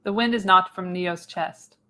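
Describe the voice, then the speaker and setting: A woman serves as narrator for a radio play, reading scene headings and action from a script. Her voice sits a little lower than most women's. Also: a little slow, sharp tone. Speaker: narrator, podcast host. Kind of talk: scripted narration of screenplay stage directions.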